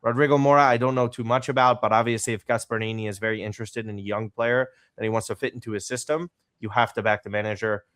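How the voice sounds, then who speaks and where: Low, smooth-voiced male podcast host. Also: quick pace, hardly any pauses, dry recording. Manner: energetic, rambling questions. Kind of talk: casual podcast interview.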